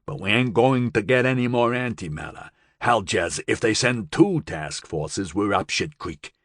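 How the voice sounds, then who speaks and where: A man's voice: lowish voice, expressive, a little slow, no echo, clean studio sound. A man voicing a volatile, foul-mouthed gangster boss in an audiobook, with a blustering, menacing delivery. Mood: sullen, irritable.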